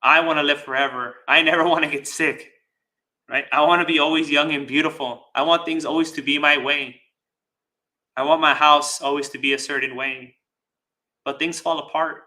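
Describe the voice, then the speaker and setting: A man giving a dharma talk as a Buddhist teacher, speaking deliberately with pauses, repeated phrases and rhetorical check-ins. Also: on the high side, steady tone, bright, forceful tone. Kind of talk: dharma talk with live chat questions.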